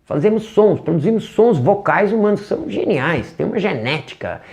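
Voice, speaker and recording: An English teacher with a Brazilian Portuguese accent gives an online lesson, animated, asking rhetorical questions and demonstrating sounds. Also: medium pitch, normal speed, creaky.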